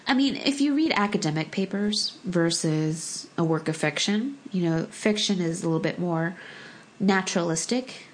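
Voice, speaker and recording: A woman from the United States whose first language was Korean, speaking in a low-pitched, unhurried voice. She talks casually, weighing her words and often restarting mid-thought. Light laughs come easily between phrases. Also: lively intonation, poor audio. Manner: thoughtful, hesitant with restarts and fillers. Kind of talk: casual conversation.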